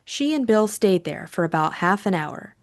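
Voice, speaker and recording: A woman's voice: lowish voice, expressive, normal speed. A woman narrating a novel as an audiobook in a scripted storytelling style.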